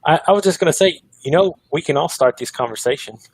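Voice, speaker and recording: A man's voice: fairly high pitch, animated, quick pace, husky, dry recording, low-quality recording. A man hosting a roundtable discussion, encouraging and conversational. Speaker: host.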